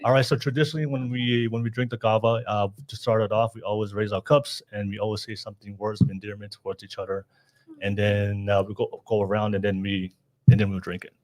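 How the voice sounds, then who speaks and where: A man's voice: on the low side, flat delivery, fast, darkish tone, low-quality recording. A man hosting a casual podcast panel, upbeat and chatty, calling out to his live chat. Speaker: podcast host. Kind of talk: casual hosted panel talk.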